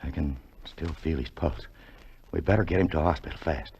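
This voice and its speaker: An actor playing a steady, take-charge radio station man with a hesitant, soothing manner, in 1940s radio suspense style. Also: a bass voice, fairly quick, creaky, highs cut off. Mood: grave. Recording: noisy, clicking 1949 radio recording.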